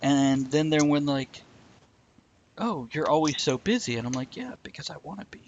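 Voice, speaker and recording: A man's voice: on the high side, lively intonation, normal speed, lo-fi sound, a little hiss or hum. A man hosting a live online talk show, chatting casually and rambling a bit with a self-deprecating humor. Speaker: podcast host. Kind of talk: casual chat.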